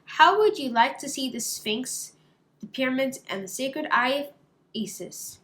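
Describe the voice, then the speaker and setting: Woman as a brisk ibis schoolteacher, high-pitched. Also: animated, fairly bright tone, roomy sound. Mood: instructive, inviting. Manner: clear, instructive classroom address. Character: brisk female ibis schoolteacher, orderly and instructive.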